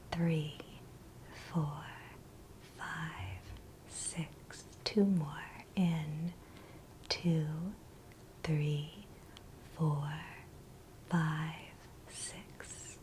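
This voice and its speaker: Woman whispering very slowly, soft and breathy. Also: lowish voice, a little hiss or hum, low-quality recording. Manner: gentle, soothing, unhurried reassurance. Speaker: guided meditation host. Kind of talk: whispered ASMR guided meditation.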